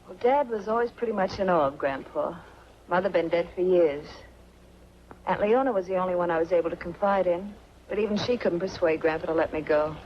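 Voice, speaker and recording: A woman's voice: fairly low pitch, fairly quick, natural voice. An American actress playing a guarded, wistful young woman with a secret past in a 1940s film noir. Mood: wistful, confiding. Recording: muffled, noisy 1940s film soundtrack.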